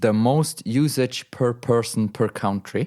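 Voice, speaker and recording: A man's voice: medium pitch, a little slow, warm tone. A Swiss man with a Swiss German accent, co-hosting a casual podcast and rambling good-humouredly. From Switzerland. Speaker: podcast co-host. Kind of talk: casual chat.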